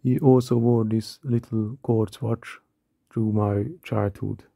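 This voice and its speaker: Hungarian-accented man in his thirties, dry close voice-over, slow and self-mocking. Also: fairly low pitch, flat delivery, gravelly, warm tone, no echo. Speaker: watch collector. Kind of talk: scripted voice-over narration.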